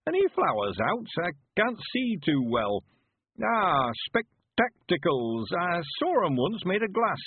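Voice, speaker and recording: A high, husky old countryman with a broad West Country accent. He is sprightly and cheerful in his nineties, drawing out folksy dialect with great emphasis. It is an audiobook character heard through a narrow, radio-like band. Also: huge pitch swings, takes it slowly, full character voice. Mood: eager, fussing. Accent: rural English West Country. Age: 90s. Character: sprightly, cheerful 91-year-old rustic man.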